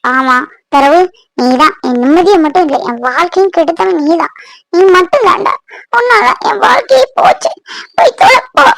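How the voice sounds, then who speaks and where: An actress playing a bitter, tearful young bride in a serial, scolding curtly. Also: female voice, high voice, normal speed, fairly bright tone, no room sound, poor audio. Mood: bitter, exasperated. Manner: scolding, curt, emotional. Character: bitter, tearful young bride who scorns her husband. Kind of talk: Tamil TV serial acting.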